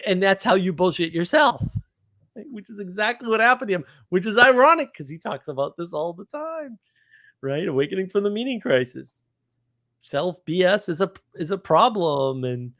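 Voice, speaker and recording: A man's voice: high voice, a bit bright. A man talking casually and off the cuff as a live stream host, with a blunt, opinionated, rambling manner. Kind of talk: casual unscripted monologue.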